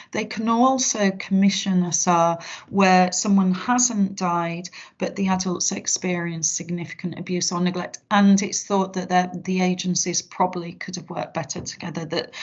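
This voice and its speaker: A British woman with a fairly low-set voice leads an online training session. She explains her slides informally and reassuringly. The sound is somewhat muffled, like video call audio. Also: gravelly. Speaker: safeguarding trainer. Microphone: video call microphone.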